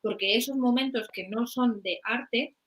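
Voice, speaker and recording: Bright-voiced woman co-host. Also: fairly high pitch, a little hiss or hum. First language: Spanish. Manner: relaxed, laughs easily, translates in quick summaries. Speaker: co-host and interpreter. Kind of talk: casual live chat, switching to translate.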